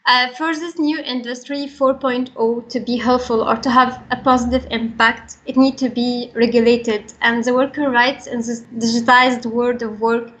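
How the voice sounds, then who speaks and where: Young woman, Egyptian accent, fairly high voice, formal prepared statement, earnest, muffled lo-fi audio. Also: steady tone, moderate pace, few pauses, a bit bright. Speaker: regional coordinator for a young workers' organisation. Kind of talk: prepared talk, reading statement. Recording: dull, band-limited recording.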